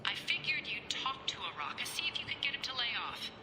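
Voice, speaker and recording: A woman's voice: sits high, sing-song, fast. A woman voicing a cool, menacing alien crime boss in a video game, scornful and sardonic. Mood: dismissive. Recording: roomy echo, music under the dialogue.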